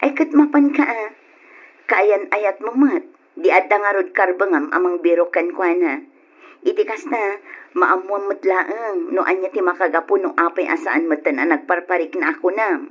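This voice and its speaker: Husky, concerned older sister. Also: female voice, fairly low pitch, normal speed. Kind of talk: old radio drama acting. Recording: dull lo-fi old radio recording.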